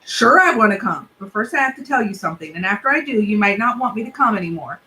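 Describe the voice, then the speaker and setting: A woman's voice: medium pitch, animated, fast talker, sharp tone, slight background noise, rough recording. A woman voicing an earnest, literal-minded young boy who rambles in a storybook reading.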